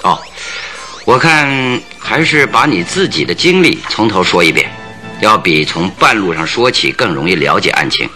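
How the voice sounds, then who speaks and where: Shrewd, sharp-voiced radio-drama detective. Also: male voice, fairly low pitch, very animated, soft background music, exaggerated voice. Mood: probing, composed. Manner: deliberate, probing, theatrical. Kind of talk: Chinese radio drama acting.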